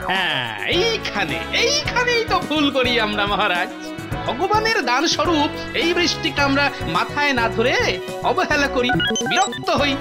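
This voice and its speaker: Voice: high voice, big pitch swings, a little slow. An actor voicing a clever, sly court jester in a cartoon, coaxing and explaining with teasing hesitations. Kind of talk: animated cartoon dialogue. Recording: loud music behind the voice.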